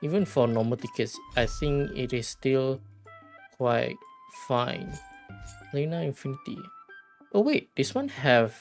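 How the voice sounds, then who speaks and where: Slow, creaky-voiced streamer thinking aloud. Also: medium pitch, lively intonation, faint music behind. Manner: rambling, halting, thinking aloud. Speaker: game livestreamer. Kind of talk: casual gaming stream chat.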